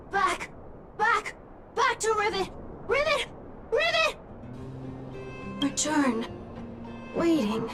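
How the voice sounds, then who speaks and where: A little malfunctioning robot stammers out one word at a time. The voice is very high and drawn out slowly. Loud game music plays behind. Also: female voice, full character voice. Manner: halting single-word utterances. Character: small malfunctioning robot, beeping single words.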